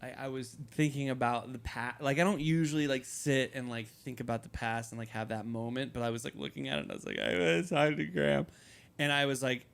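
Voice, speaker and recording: A man's voice: mid-range voice, fairly quick, gravelly, fairly bright tone. A young man co-hosting a podcast, telling rambling stories in casual chat and swearing freely. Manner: crude, rambling storyteller with frequent swearing. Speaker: podcast co-host.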